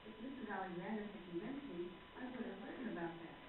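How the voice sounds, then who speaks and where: A woman's voice: medium pitch, expressive, fast, phone-quality sound, close to an everyday voice. An actress playing an indignant but dignified woman writer in a radio play. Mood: defensive, pleading. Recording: very echoey, distant, hissy recording.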